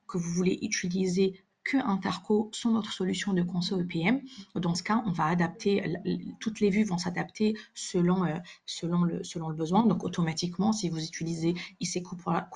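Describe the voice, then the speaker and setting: Woman, gravelly fry, slightly low pitch, software demonstration, explanatory, halting delivery, repeated words. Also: steady tone. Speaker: software webinar presenter.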